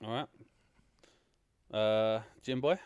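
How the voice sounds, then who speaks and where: A man hosting a casual film review podcast, teasing his co-hosts and talking over them in an animated way. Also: lowish voice, slow, smooth, no room sound, lo-fi sound. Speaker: podcast host.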